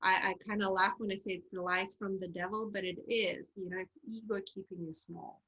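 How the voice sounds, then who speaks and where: A woman's voice: mid-range voice, fairly even intonation, fairly quick, bright, forceful tone, reverberant, highs cut off. A woman with a Russian accent coaching a group on a video call, candid and encouraging. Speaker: course coach.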